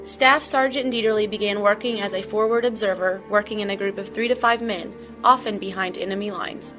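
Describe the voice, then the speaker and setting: A woman's voice: mid-range voice, fairly even intonation, brisk, over music. A woman narrating a documentary from a script.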